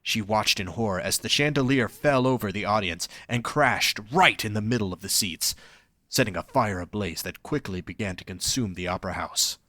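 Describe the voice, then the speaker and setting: A man's voice: fairly low pitch, moderate pace, sharp tone, no echo, studio quality. A man reading scripted story narration as the narrator of an audio drama.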